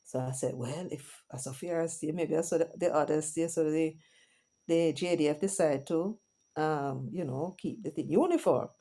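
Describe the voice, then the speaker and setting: Jamaican woman, middle-aged, low voice, mellow tone, chatty patois commentary. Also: moderate pace. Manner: chatty, mixes patois and English, lively asides to viewers. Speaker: vlogger host. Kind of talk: casual commentary monologue.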